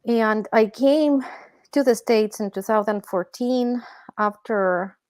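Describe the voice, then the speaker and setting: A woman from Ukraine speaking as an interview guest, measured and thoughtful, with soft hesitations. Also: a little high, no room sound. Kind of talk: conversational interview.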